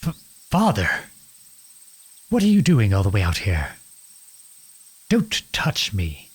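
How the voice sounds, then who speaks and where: A man playing a noble runaway outlaw prince in a dramatic audio roleplay, earnest and imploring, with a husky voice. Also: on the low side, wildly swooping pitch, slow pace, no echo, exaggerated voice. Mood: angry, hurt. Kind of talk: dramatic ASMR roleplay acting.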